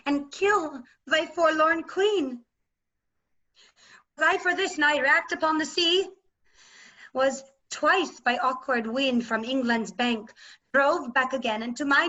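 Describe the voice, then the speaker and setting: A woman's voice: high-pitched, bright, forceful tone, heavily acted. A woman playing a proud, passionate medieval queen in classical verse, recorded over a video call. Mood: anguished reproach. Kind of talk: classical verse stage acting. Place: remote staged reading over video call. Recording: dull online video-call audio.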